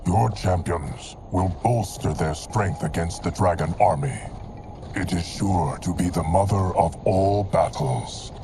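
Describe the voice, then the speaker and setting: A deep, solemn ancient guardian. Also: male voice, unhurried, noisy background. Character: stoic ancient male time guardian. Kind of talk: video game cutscene acting. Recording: game audio with music behind.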